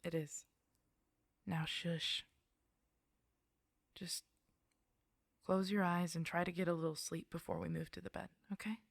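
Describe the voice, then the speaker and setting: Gently creaky, affectionate woman as a soothing girlfriend. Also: on the low side, level tone, a little slow, long pauses, dry recording, studio quality. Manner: soft, affectionate, coaxing, murmured delivery. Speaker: audio roleplay performer playing a girlfriend. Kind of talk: intimate girlfriend roleplay sleep-aid audio, spoken to the listener. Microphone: close microphone, dry sound.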